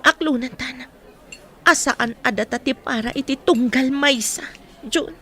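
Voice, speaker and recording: A woman's voice: sits high, unhurried, a bit bright. A Filipino woman acting a hesitant young woman in a radio drama. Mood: troubled, earnest. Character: Margie, hesitant young woman, daughter with marriage doubts.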